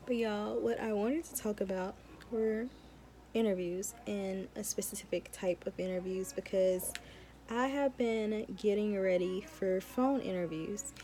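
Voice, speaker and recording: Young adult woman, student vlogger, mid-pitched voice, casual chat, informal, emphatic repetition, advice-giving. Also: a little slow, mellow, a little hiss or hum. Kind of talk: casual chatty advice vlog.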